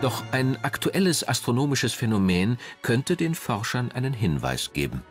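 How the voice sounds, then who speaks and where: A man narrating a scripted science documentary in a measured, formal manner. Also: medium pitch, very animated, music in the background.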